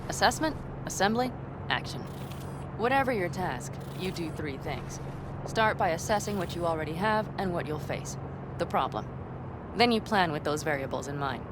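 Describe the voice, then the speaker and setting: An actress playing a tough, self-assured nomad mercenary in a video game, brisk, confident and plain-spoken. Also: female voice, fairly low pitch, lively intonation, natural voice. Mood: wry, instructive. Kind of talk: video game dialogue acting. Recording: vehicle and outdoor noise behind.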